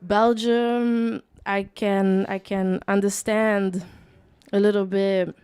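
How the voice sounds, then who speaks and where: Chatty woman from Chile, smooth voice. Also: mid-range voice, takes it slowly, no room sound. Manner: chatty, lots of "like", animated asides. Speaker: guest DJ. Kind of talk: casual radio interview. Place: radio studio.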